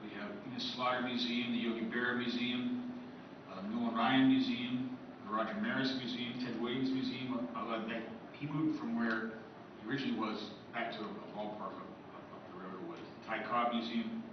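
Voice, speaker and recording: A man's voice: medium pitch, little pitch movement, a little fast. A man giving a rambling, friendly talk to a convention audience, listing things and adding asides. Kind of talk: informal lecture to a live audience. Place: convention meeting room. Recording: distant echoey room mic, muffled with background hiss.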